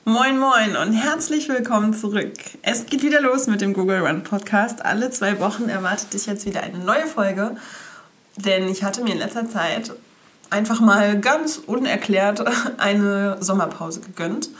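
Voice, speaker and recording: A young woman hosts her own podcast, sounding stuffy from a head cold. Her voice sits at an ordinary middle pitch, and she talks to the listener in a cheerful, chatty way. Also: moderate pace, fairly bright tone. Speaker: podcast host. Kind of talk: casual solo podcast chat.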